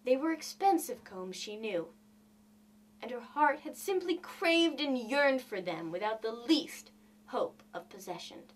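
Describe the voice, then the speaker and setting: Slow, lively woman storyteller. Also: a little high, slight background noise. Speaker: reader of literature. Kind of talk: expressive audiobook narration of a classic short story.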